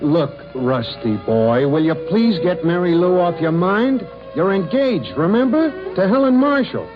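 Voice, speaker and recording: An actor playing a pilot's exasperated, nagging pal in an old radio drama, urgent and pleading. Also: male voice, high voice, animated, unhurried. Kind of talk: 1930s-40s radio acting. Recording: lo-fi old radio broadcast, music behind.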